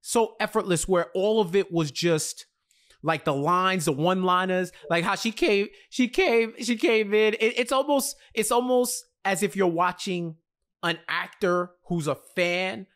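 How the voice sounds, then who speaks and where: A man's voice: high voice, unhurried, a bit bright. An American man in his thirties co-hosting a casual TV recap, emphatic and repeating phrases as he builds his point, close on a podcast mic. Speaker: podcast co-host. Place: small home studio indoors. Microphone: large podcast mic close up.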